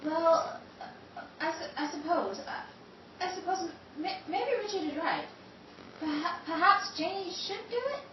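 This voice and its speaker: A woman's voice: very high-pitched, expressive, measured pace, recorded from a distance, a little hiss or hum, voice pushed up. A woman voicing a timid, nervous relative who stammers, in a 1930s-style radio drama. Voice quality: stammering, hesitant. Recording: roomy echo, dull lo-fi sound from off mic.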